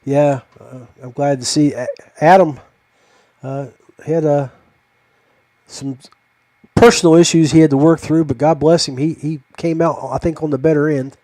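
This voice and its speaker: An older man hosting a fishing podcast, talking casually in a halting, rambling way. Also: fairly high pitch, fairly even intonation, dark tone, no echo.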